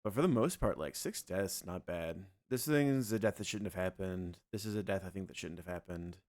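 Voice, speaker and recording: A young man streaming a game and chatting loosely with his viewers, his voice a little scratchy from yelling the night before. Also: sits low, brisk. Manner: relaxed, rambling, talks to chat. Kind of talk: casual livestream chat.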